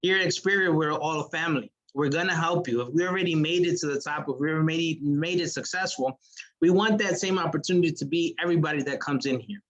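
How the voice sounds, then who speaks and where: Sales manager pitching over a video call, higher male voice, muffled audio. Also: fast talker, fairly bright tone. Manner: earnest motivational pitch, many fillers. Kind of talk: online business presentation.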